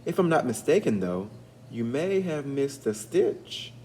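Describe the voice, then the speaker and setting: A sly young Irish rogue from a storybook, voiced by a man, choosing words carefully and teasingly. The voice often lifts in pitch, over some background noise. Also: very animated, unhurried, a little mellow. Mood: polite, careful.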